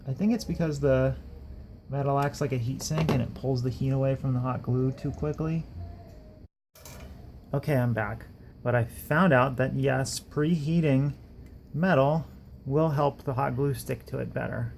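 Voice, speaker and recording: A man's voice: mid-range voice, measured pace, creaky, darkish tone, a little hiss or hum. A man hosting a casual workbench build video, talking in a rambling, self-deprecating way. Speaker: electronics hobbyist host.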